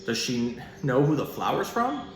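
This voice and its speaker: A man's voice: mid-range voice, very animated, moderate pace. A man voicing a curious, supportive young boy in a children's storybook. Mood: encouraging. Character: Cooper, curious, pushy, supportive young boy best friend. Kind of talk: children's storybook read-aloud. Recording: roomy echo, some background noise.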